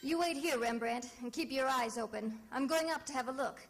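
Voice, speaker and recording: An actress playing a brisk, plucky woman detective in an old-time radio mystery, with crisp, dramatic delivery. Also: sits high. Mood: urgent, suspenseful.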